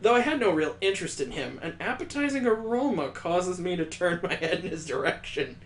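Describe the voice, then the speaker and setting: A man voicing a detached, world-weary young heroine narrating a visual novel in a measured, dramatic reading. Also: high voice, sing-song, a little hiss or hum. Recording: echoey, roomy sound.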